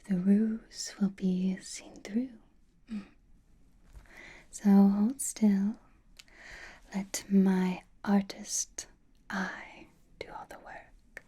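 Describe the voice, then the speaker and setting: A flirtatious, possessive vampire acted by a woman whispers in an intimate ASMR roleplay. The delivery is very breathy and unhurried, with a soft, mellow tone. The vampire teases and reassures in turn. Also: medium pitch. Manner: intimate, slow whispering with sighs and soft hums.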